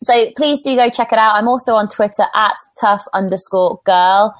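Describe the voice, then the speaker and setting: A woman's voice: mid-range voice, moderate pace, dry recording. Upbeat woman hosting, dry sound. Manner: enthusiastic, upbeat, promotional. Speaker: host of an online podcasting conversation. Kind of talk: live online chat hosting.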